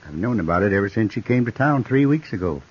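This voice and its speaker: Husky, worried frontier townsman. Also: fairly low pitch, lively intonation, quick pace. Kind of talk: 1950s radio western acting. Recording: dull 1950s radio recording.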